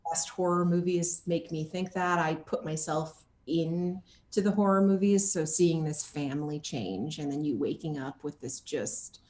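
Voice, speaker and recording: Echoey, animated woman chatting as a guest. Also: low-pitched, unhurried, few pauses. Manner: animated, acts out quoted reactions. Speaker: guest, actor interviewed about game casting and motion capture. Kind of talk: casual interview chat. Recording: echoey room sound.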